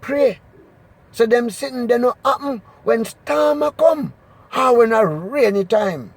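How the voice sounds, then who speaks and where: A man with a Jamaican accent doing an expressive, emphatic scripture reading in Patois. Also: extremely high pitch, measured pace, husky, no room sound. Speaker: Bible reader.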